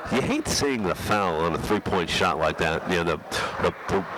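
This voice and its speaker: A man with a head cold, a little nasal and hoarse, calling a high school basketball game play by play with frequent restarts. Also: expressive, normal speed. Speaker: play-by-play announcer. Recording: loud crowd and gym noise behind. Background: crowd and bouncing basketball.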